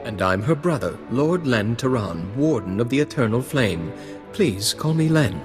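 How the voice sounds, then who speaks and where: A man's voice: medium pitch, expressive, moderate pace, natural voice. An actor playing a teasing, sardonic young nobleman in a video game, talking in a theatrical, wordy way. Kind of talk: video game cutscene acting. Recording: long hall reverb, faint music.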